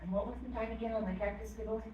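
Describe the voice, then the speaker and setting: A woman's voice: a little high, fairly even intonation, very fast, mellow, muffled recording. A woman attendee asking casual questions at a town meeting. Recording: echoey room, far mic, faint noise.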